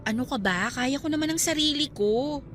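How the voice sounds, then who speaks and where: Bright-voiced, guarded young teacher. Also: female voice, sits high, a little fast, soft background music. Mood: bashful, polite. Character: guarded single young woman teacher, wary of romance. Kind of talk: Tagalog radio drama acting.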